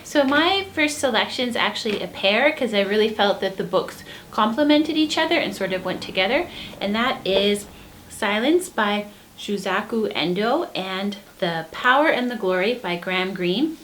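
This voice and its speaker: A woman's voice: mid-range voice, lively intonation, normal speed, a bit bright, a little hiss or hum. A woman co-hosting a book review, chatting casually and earnestly with emphatic enthusiasm. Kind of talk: casual book recommendation chat.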